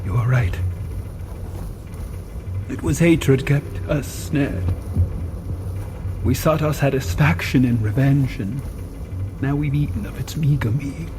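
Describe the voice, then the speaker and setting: Man acting a slow, sorrowful, solemn monster. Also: medium pitch, noisy background, natural voice. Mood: anguished remorse. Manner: slow, solemn, archaic declamation. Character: anguished, remorseful male monster, grand and bitter. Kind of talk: audio drama acting.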